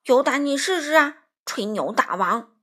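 A woman voicing a stubborn, grumbling animal friend in a children's story, huffy and quick to retort. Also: sits high, animated, fairly bright tone.

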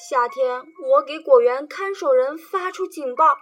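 A woman's voice: measured pace, faint music behind. Woman voicing a begging little sparrow. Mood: pleading. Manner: pleading, high and loud. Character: starving little sparrow begging children for food.

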